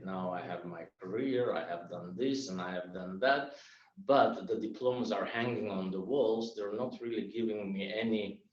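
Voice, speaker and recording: A man in his fifties from Armenia, Armenian his first language, giving an earnest personal speech at a club meeting. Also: on the low side. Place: room on a video call. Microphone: video call mic. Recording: echoey, dull, from far off.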